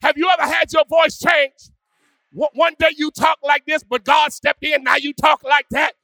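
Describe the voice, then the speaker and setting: A pastor preaching a sermon with call-and-response, swinging from hushed musing to shouted, sung climaxes. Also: male voice, very high-pitched, level tone, normal speed, creaky, dry recording, faint music behind. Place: church.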